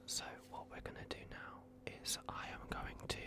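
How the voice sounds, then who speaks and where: A performer voicing a soft-spoken, bumbling vampire doctor in an ASMR roleplay, whispering hesitantly and repeating reassurances. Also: moderate pace, close to an everyday voice. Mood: calm, instructing. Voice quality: whispered, creaky. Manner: hushed, hesitant, repetitive, reassuring.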